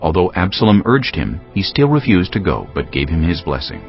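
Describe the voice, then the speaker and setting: A man's voice: low-pitched, very animated, brisk, gravelly. A man solemnly narrating a dramatized scripture story from a script. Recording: slightly dull audio with music bed.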